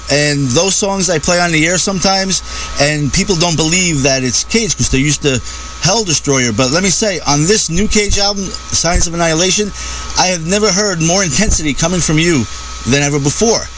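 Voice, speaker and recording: A man's voice: a little high, fairly bright tone, rough recording. A man hosting a radio show, interviewing a singer with fan-like enthusiasm. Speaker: radio host. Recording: clear background noise.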